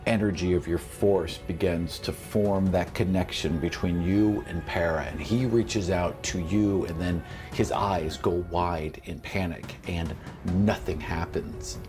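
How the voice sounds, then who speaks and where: A man's voice: deep voice, fairly even intonation, unhurried. A man narrating a tabletop fantasy game as game master, improvising dramatic descriptions. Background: music.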